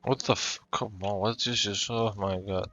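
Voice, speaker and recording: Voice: low-pitched, fairly even intonation, normal speed, muffled recording, over music. A gamer giving casual commentary and reactions while playing through a story quest.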